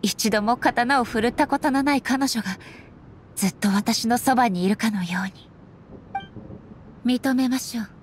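A woman playing a stern, solemn immortal warrior goddess in a game, speaking formally and with measured deliberation. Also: on the high side, slight background noise, lightly acted. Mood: reflective. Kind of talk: dramatic game voice acting. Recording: background music under the voice.

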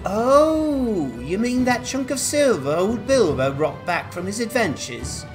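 A man's voice: high voice, sing-song, slow pace, soft background music, pitched above the performer's own voice, exaggerated voice. A man voicing a chatty, naive hobbit museum keeper with a folksy, exclamatory manner in a fantasy game. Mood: cheerful, puzzled. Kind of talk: dramatized fantasy game quest dialogue.

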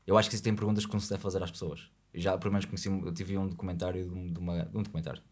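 Fast, husky low-voiced Portuguese man. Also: flat delivery, darkish tone. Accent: European Portuguese. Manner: rambling, frank, peppered with fillers. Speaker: podcast host. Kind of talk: casual podcast chat. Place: small podcast studio with foam panels. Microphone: boom-arm studio mic.

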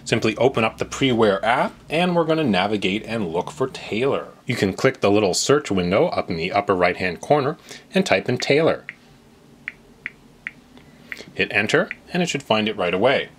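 A man's voice: lowish voice, lively intonation, a little fast, slight background noise. A man presenting a step-by-step tech tutorial in a plain-spoken, instructional way, addressing the viewer directly. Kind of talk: step-by-step software tutorial narration.

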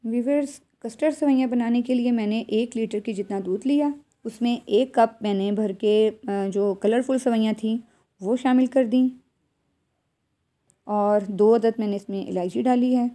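Home cook, female, Pakistani accent, mellow and a little high, instructive tutorial narration. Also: a little slow. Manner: instructive, addressing viewers directly. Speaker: home cook presenting a recipe. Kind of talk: step-by-step cooking tutorial voice-over.

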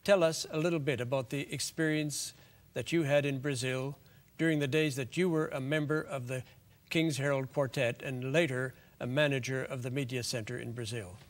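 An older man hosting a television program from a studio set, speaking in a measured, courteous way. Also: mid-range voice, steady tone. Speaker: host. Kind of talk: scripted television presenting.

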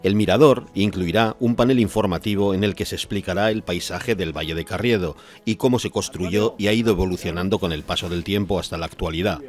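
A man's voice: deep voice, a little fast, hardly any pauses. A man with an accent from Spain reading a scripted news voice-over as narrator. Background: music.

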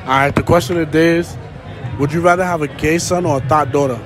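A young man asking quick, slangy questions as a street interviewer in a busy city square, speaking into a handheld phone. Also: on the high side, steady tone. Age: young adult. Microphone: handheld phone held to the mouth. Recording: loud crowd and music outdoors.

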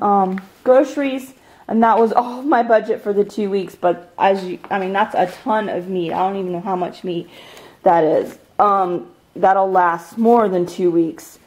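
A woman's voice: mid-range voice, a little mellow, low-quality recording. A married woman at home, chatting casually and rambling with many ums as she shows her groceries to a handheld camera. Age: adult, married. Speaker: vlogger showing a grocery haul. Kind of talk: casual chat over a haul. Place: home, indoors at a table of groceries.

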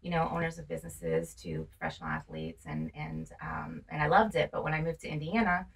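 A woman's voice: low-pitched, animated, brisk, creaky, husky, distant mic. A woman, a guest chatting casually and laughing often in a radio studio. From Massachusetts, near Boston. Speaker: guest, realtor and reality TV star. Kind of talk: casual interview chat. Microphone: studio boom mic with headphones.

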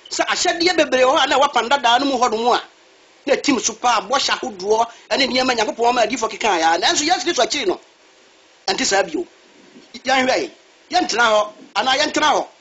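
Male preacher, very high and lively delivery, dull lo-fi recording. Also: husky. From Ghana. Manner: switches between Twi and English, asks a reader to read verses. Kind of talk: sermon.

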